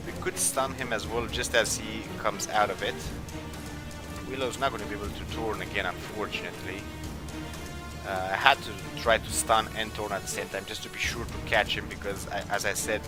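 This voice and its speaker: Low-voiced man doing casual game commentary. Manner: hesitant, thinking aloud. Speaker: gaming video host. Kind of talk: casual let's play commentary. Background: game music.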